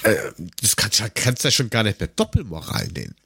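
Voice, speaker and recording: A man with a husky voice chats casually as a podcast co-host. His intonation swings widely, full of sarcasm and crude slang. The sound is dry, with no room around him. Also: lowish voice, very animated, a little fast, pushed and bright, no echo. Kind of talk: casual chat, humorous banter.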